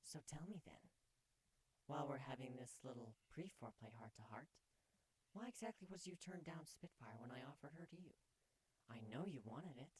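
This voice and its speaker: A man voicing a playful, affectionate young female changeling pony with a breathy, coaxing voice in a fan fiction reading. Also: mid-range voice, lively intonation, moderate pace, rough recording. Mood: teasing, probing. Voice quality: breathy, hushed. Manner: teasing, coaxing, tender.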